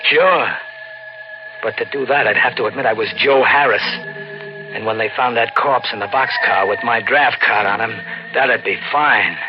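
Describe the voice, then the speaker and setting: Muffled old radio noir with music clearly behind. An actor as a cynical American man on the run speaks at a medium male pitch. He sounds wary and hard-boiled, with clipped, sardonic phrasing. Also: moderate pace, lightly acted. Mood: bitter, sardonic. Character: cornered, wary fugitive man, cynical and reluctant. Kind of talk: 1940s radio noir acting and narration.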